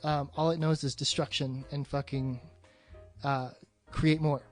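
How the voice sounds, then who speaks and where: Higher-voiced man, profane and enthusiastic. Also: level tone, measured pace, soft background music. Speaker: podcast host. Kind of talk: casual movie discussion.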